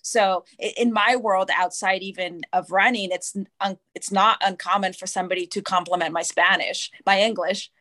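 A woman's voice: mid-range voice, fairly even intonation, normal speed, hardly any pauses, pushed and bright. A woman from Canada speaking thoughtfully as a panel guest, often restarting words. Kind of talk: casual panel discussion.

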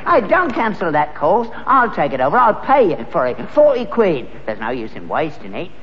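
An actor playing a crotchety old rag-and-bone father, gruff and taunting, in a 1960s radio sitcom. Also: a little high, huge pitch swings, normal speed. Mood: smug. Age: older. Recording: dull old radio recording with long reverb and faint hiss.

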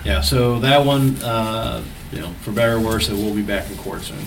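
Man, township staff report, hesitant, many fillers, low voice, distant noisy mic. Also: moderate pace, creaky. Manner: hesitant, many ums and restarts. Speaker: township zoning staff member. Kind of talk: reporting at a public board meeting.

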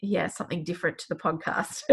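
A woman's voice: fairly low pitch, lively intonation, a little fast, gravelly, darkish tone, breathy edge. A woman hosting a podcast with a head cold that makes her sound sniffly and clogged up, reading introductions and chatting with guests. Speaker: podcast host. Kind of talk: scripted podcast intro and casual chat.

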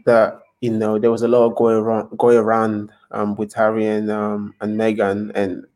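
Male podcast guest from Nigeria, lower voice, hesitant and reflective. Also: completely flat delivery, normal speed, darkish tone. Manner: hesitant, thoughtful, many ums and restarts. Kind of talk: casual interview chat.

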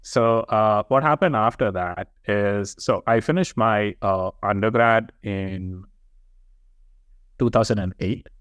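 A man's voice: on the low side, slow, fairly bright tone, no echo. A man from India with an Indian accent, talking conversationally and reflectively as a podcast co-host. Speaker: co-host, engineering professor.

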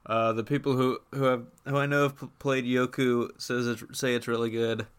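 A man's voice: mid-range voice, little pitch movement, normal speed, clean tone. A man co-hosting a casual gaming podcast, speaking in a conversational, somewhat tentative way. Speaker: podcast co-host.

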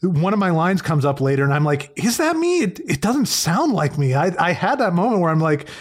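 A man talking casually and reflectively as a co-host, with measured, articulate, self-critical phrasing. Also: sits high, very animated. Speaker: voice actor, podcast co-host.

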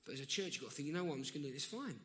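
A man with a South London accent delivers a sermon in a loose, conversational way, often restarting a thought before building to emphatic bursts. His voice sits fairly high for a man. It rings with the long echo of a church hall. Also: animated, rapid-fire, a bit bright. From South London, England. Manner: conversational, self-interrupting, rising to emphatic bursts. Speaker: preacher.

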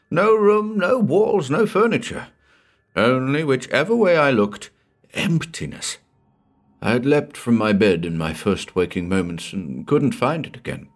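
A man voicing a big, strong man over sixty, hoarse and shaken with terror. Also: mid-range voice, voice pushed up. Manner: frantic, pleading.

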